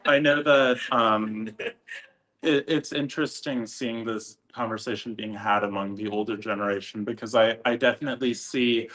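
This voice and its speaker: A man moderating a discussion, phrasing his thoughts in a measured, wordy and hesitant way. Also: medium pitch, fairly even intonation, fairly bright tone, low-quality recording.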